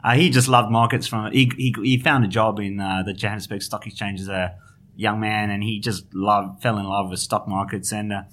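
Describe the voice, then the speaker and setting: A man with a South African accent and a bright, forceful voice, talking as an interview guest. He is middle-aged and talks in a hesitant, conversational way, full of fillers and restarts. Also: fairly low pitch, little pitch movement, normal speed. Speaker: guest, finance journalist.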